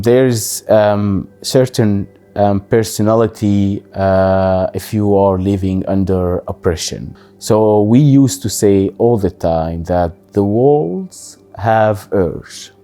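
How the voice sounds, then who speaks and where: Man, 30s, interviewee; low mellow voice, halting and thoughtful. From Syria. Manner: halting, thoughtful, many pauses. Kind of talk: personal interview, life story.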